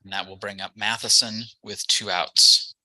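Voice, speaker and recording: A man's voice: lowish voice, level tone, normal speed, pushed and bright, no echo, low-quality recording. A man doing live baseball play-by-play commentary as the game's announcer.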